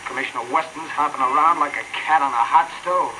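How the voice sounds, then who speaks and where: An uneasy, wisecracking American beat cop played by a man in a 1930s radio play. His voice sits a little high, under the clear noise of an old broadcast recording. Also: fast, lightly acted. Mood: uneasy, chatty. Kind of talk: 1930s radio drama acting.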